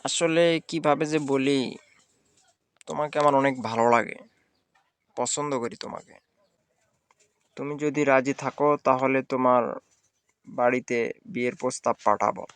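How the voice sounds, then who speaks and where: A man's voice: medium pitch, steady tone, moderate pace, pauses often, smooth, no room sound, close to an everyday voice. A man playing a courteous, earnest young village businessman in a cartoon. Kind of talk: cartoon dialogue.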